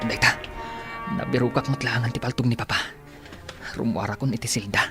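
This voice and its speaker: A man's voice: medium pitch, fairly even intonation, a little fast, music in the background, natural voice. A man voicing a boastful, spoiled rich young man who laughs a lot, in an Ilocano radio drama. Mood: cocky. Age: young adult. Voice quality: cocky, laughs often. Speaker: college-age son.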